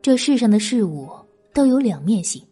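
A woman's voice: on the high side, big pitch swings, moderate pace, warm tone, soft background music. A woman reading scripted dramatic narration of a historical novel as an audiobook narrator.

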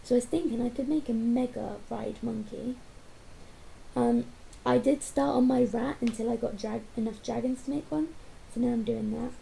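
Croaky-voiced young woman, laid-back. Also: fairly high pitch, fairly even intonation, moderate pace, mellow, slight background noise, poor audio. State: croaky, hoarse voice. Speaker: co-host of a gaming channel. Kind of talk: casual gameplay chat.

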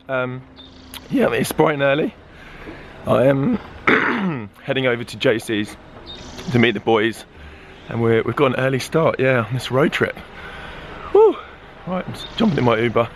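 A young man vlogging a road trip, his voice going hoarse, clearing his throat now and then, upbeat and chatty. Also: medium pitch, expressive, a little slow, pauses often, fairly bright tone, slight background noise. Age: young adult. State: losing his voice. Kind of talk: casual travel vlog. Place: in an RV and out on city streets. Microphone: handheld camera at arm's length.

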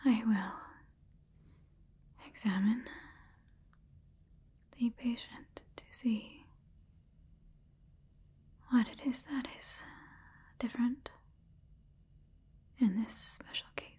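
A woman in her twenties playing a calm scientist, dictating haltingly in a breathy whisper in an ASMR roleplay. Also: a little high, full character voice. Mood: clinical, hushed. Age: 20s. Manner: slow, halting, clinical dictation. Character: calm, clinical female scientist examining an alien-infected patient.

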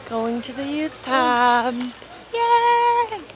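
High, animated young woman vlogger. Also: very slow. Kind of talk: casual vlog chat. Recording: loud music and crowd noise behind.

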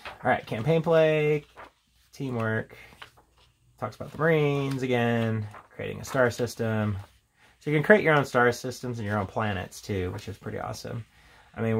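A man giving a casual, unscripted walkthrough as a tabletop game reviewer, talking in a rambling, halting way with casual enthusiasm. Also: fairly low pitch, creaky. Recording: steady background noise, handling clicks.

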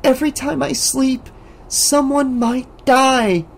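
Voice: mid-range voice, lively intonation, slow, a little mellow, voice pushed up. A reader voicing a frightened teenage girl in an audiobook, tremulous and pleading. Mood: desperate. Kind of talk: audiobook character voice.

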